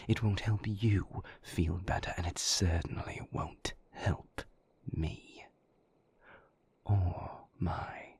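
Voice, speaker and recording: Moody male vampire lover, acted by a man, gravelly and very breathy, cutting and deliberate, intimate roleplay audio. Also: low-pitched, heavily acted. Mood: curt, brooding. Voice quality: breathy, husky, gravelly half-whisper. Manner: sardonic, cutting, deliberate phrasing.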